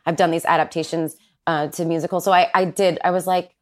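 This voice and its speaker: A woman's voice: lowish voice, flat delivery, a little fast. A woman guest chatting casually, bubbly and laughing often. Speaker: guest, Broadway actress. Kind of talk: casual chat.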